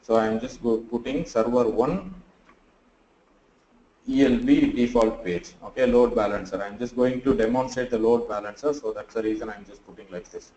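A sleepy man teaches a live class over a video call, pausing often and thinking aloud as he works. His voice is mellow and dark, and the audio sounds dull and roomy, with a faint hiss underneath. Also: mid-range voice, steady tone. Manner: halting, thinks aloud with many fillers. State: sleepy and tired. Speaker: online class instructor. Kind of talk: live screen-share tutorial.

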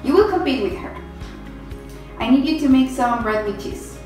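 A woman's voice: high voice, animated, moderate pace, a little mellow, soft background music. Teen girl playing a bossy TV cooking show host. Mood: firm. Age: teens. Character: bossy, blunt mother hosting a TV cooking show. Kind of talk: amateur scripted TV show acting. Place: home kitchen and bedroom.

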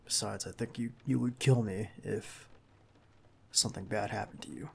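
Casting: male actor as protective bodyguard for ASMR roleplay; creaky, mid-pitched, hushed and reassuring, some background noise. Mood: relieved, reassuring. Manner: hushed, halting, reassuring, close to the listener. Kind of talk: intimate ASMR roleplay acting.

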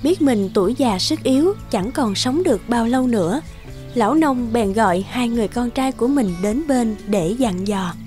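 A woman narrator reads a scripted fairy tale in storybook style. Her voice sits fairly high, and music plays clearly underneath. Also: lively intonation, normal speed, darkish tone. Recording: music bed behind the voice.

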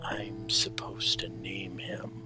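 Man doing a hushed, slow brooding prince. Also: low voice, completely flat delivery, over music. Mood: hesitant. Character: brooding hedgehog prince, curt and reserved.

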